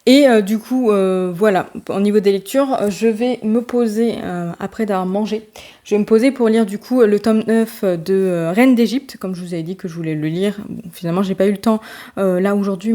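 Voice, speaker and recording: Woman, book vlogger, casual chat, mellow tone, fillers and restarts, stuffy cold. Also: mid-range voice, a little fast. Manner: chatty, many fillers and restarts. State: congested with a summer cold, tired.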